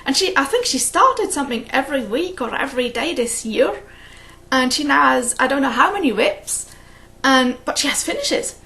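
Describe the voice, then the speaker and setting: A woman's voice: high voice, very animated, normal speed, creaky, sharp tone. A woman hosting a cross-stitch video, chatting casually and rambling, often laughing at her own stories. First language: English. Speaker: flosstube host. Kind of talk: casual chat to camera.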